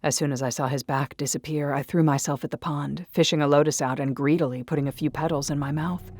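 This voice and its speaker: A woman's voice: low voice, fairly even intonation, fast, darkish tone. A woman narrating a first-person story in a dramatic, intimate and intense manner.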